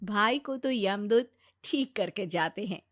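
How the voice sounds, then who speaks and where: A woman's voice: medium pitch, expressive, a little slow, no room sound, studio quality. A woman narrator reading a scripted cartoon bedtime story.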